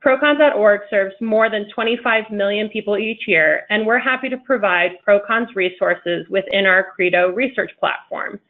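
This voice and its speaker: A woman hosting a webinar, giving a polished scripted introduction of the speaker. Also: mid-range voice. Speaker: webinar host. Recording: tinny phone-like web call audio.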